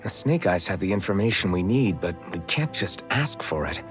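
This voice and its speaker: A resolute young male fighter from a fantasy anime game. His voice sits low and he talks in a plain, earnest way, with music playing behind him. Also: animated, normal speed. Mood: measured, wary. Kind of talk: English-dubbed anime game voice acting.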